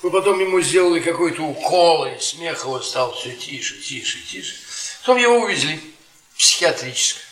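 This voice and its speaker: A worn-down, sardonic professor in a radio play. His voice is fairly high and bright, with a deliberate, theatrical storyteller's delivery. It sounds roomy and set back from the microphone. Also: expressive. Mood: grave, haunted. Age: middle-aged. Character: bitter, worn-down middle-aged history professor, sardonic storyteller. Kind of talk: Soviet radio theatre acting, dramatic monologue. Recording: roomy stage-like echo, distant from microphone.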